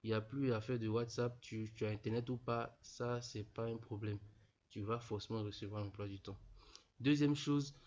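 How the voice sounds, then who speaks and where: A young man, his voice stuffy from a cold and sitting a little low. He explains things plainly and leans on the same phrases over and over, speaking to fellow students as equals. The recording is dull and lo-fi. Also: steady tone, normal speed, a little mellow. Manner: repetitive, peppered with "voilà", addresses students informally. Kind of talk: casual explanatory screen tutorial.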